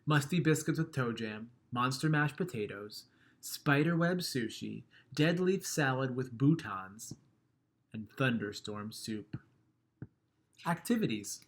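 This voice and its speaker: A man reading a children's picture book aloud, gentle and expressive, letting line endings trail off softly and chuckling at the funny bits. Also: mid-range voice, slow pace.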